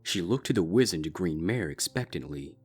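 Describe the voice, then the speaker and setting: A man narrating a dark fan fiction story in a steady, measured way. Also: low-pitched, breathy edge, faint music behind. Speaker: fanfic reader. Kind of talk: scripted narration.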